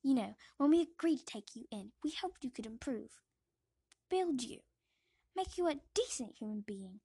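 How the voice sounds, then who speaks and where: Woman putting on a loud, scolding aunt. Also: high-pitched, lively intonation, normal speed, vocal fry, breathy edge, no echo, clean studio sound, full character voice. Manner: loud, sharp and contemptuous. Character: harsh, scolding middle-aged aunt.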